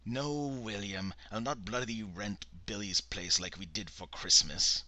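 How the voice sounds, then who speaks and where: A man voicing a gruff, commanding old male cult elder with a Scottish accent. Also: on the low side, unhurried, pushed and bright, no echo. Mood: exasperated. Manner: exasperated, commanding.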